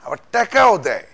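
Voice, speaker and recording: A man with a fairly high voice and a colloquial Bangladeshi accent chats as an actor guest. He tells stories casually and acts out each line of dialogue. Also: creaky, bright, forceful tone, husky. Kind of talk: casual anecdote telling.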